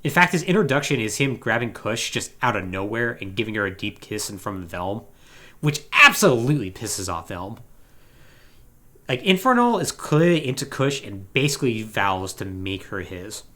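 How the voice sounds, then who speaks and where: A man's voice: fairly low pitch, sing-song, moderate pace, pushed and bright. A man hosting a podcast, recapping a video game storyline in a casual, conversational way with wry asides. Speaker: podcast host.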